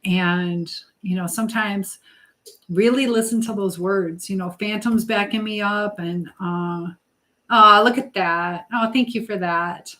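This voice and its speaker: A woman hosting a live chat, speaking earnestly and haltingly with pauses as she gives advice and encouragement to viewers. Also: mid-range voice, steady tone, bright, forceful tone. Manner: religious encouragement. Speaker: live stream host.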